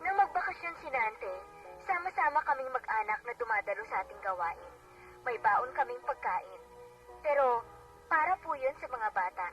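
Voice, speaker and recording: A woman's voice: fairly high pitch, animated, moderate pace, over music, lightly acted. A woman acting an earnest, devout wife and daughter telling her testimony in a radio drama. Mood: grateful. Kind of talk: radio drama acting and first-person narration. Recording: dull, noisy AM radio playback, distant.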